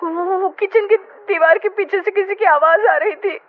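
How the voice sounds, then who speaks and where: A woman's voice: very high voice, moderate pace. A woman playing an anxious, pleading young wife in a horror cartoon. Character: frightened young wife in a haunted house. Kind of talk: dramatized horror story voice acting. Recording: tinny, band-limited audio with music.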